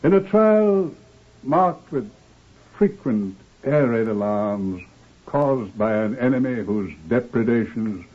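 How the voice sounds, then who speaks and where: A man playing a grave, formal narrator of true-crime cases in scripted old-time radio style. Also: on the low side. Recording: tinny old radio recording.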